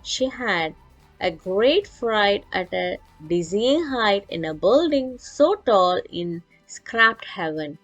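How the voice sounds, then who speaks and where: A woman reads a children's story aloud at an unhurried pace. Her pitch moves widely from phrase to phrase, and music plays behind her. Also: poor audio. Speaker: story reader. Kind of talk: animated children's read-aloud.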